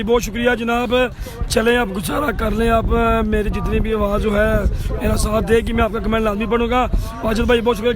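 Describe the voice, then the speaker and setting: A man doing live cricket commentary outdoors, who keeps thanking viewers by name and asking them to share the stream. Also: extremely high pitch.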